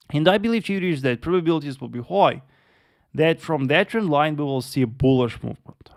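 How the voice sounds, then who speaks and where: Guy doing a live forex stream, gravelly low voice, lots of yes and uh, keeps saying traders. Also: a little mellow. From Russia. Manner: repeats words, addresses viewers as traders, many yes and uh fillers. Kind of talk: live market analysis with chat Q&A.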